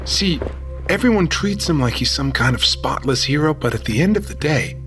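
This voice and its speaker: An actor playing a driven, suspicious police officer in an audio drama, insistent and urgent. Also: male voice, a little high, lively intonation, normal speed, a bit bright, soft background music.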